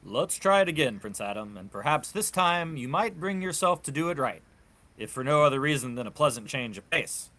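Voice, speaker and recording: A man's voice: medium pitch, animated, a little fast, fairly bright tone, natural voice. A man playing a stern, exasperated older soldier and mentor, scolding with formal diction in a comic book table read.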